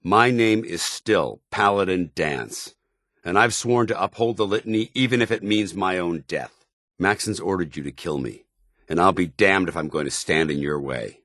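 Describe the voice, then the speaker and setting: An actor playing an earnest, honour-bound soldier paladin in a video game, with a firm voice and grave, formal, deliberate delivery. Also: male voice, deep voice, fairly bright tone, no echo, studio quality. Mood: resolute. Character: earnest, honour-bound military paladin, a synthetic man troubled by his identity. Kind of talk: dramatic video game dialogue.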